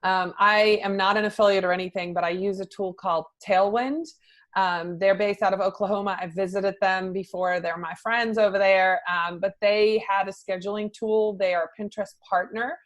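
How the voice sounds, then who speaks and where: A middle-aged woman from the Netherlands talks through her work as a podcast guest. Her voice sits at an ordinary pitch, and she speaks in a loose, chatty way with lots of ums and restarts. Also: fairly even intonation, normal speed. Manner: chatty, many fillers and restarts. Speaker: social media consultant, podcast guest. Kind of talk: conversational interview answers.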